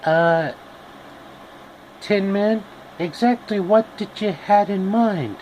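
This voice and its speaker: A man's voice: high voice, slow pace, a little mellow, a little hiss or hum, pitched above the performer's own voice, rough recording. A man voicing a plucky, frank young girl adventurer from a storybook. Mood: friendly.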